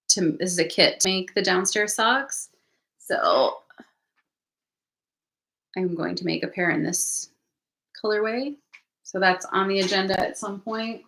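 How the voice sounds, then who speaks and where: A woman with a lowish, gravelly voice chats casually about her craft plans, wandering off mid-thought and circling back. She sounds a little sniffly, talking from a room at home. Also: pushed and bright, low-quality recording. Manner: rambling, self-interrupting, thinking aloud. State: sniffly from allergies. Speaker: knitting channel host. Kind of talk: casual livestream chat.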